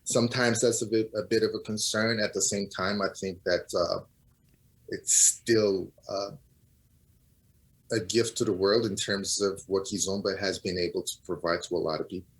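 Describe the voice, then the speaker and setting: Low-voiced middle-aged man from Portugal. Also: fairly even intonation, normal speed, low-quality recording. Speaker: interview guest, DJ. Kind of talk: reflective interview answers.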